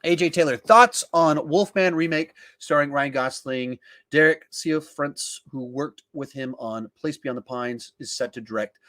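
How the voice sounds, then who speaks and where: A man's voice: a little high, measured pace, sharp tone. A man hosting a podcast, casually discussing films and reading out viewer questions in an emphatic, conversational way, thinking aloud as he goes. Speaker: podcast host.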